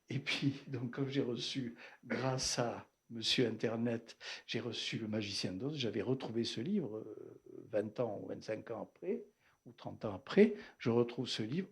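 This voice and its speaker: A man talking through a personal memory at a bookshop event, reflective and hesitant, with fillers and restarts. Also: mid-range voice. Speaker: psychoanalyst speaking at a bookshop talk.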